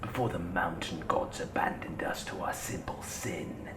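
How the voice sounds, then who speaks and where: A man playing a solemn, mysterious campfire storyteller in a fantasy audio drama, breathy and theatrical, with archaic phrasing. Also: low-pitched, moderate pace, close to an everyday voice. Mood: ominous. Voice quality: breathy, whispery. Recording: roomy, echoing voice.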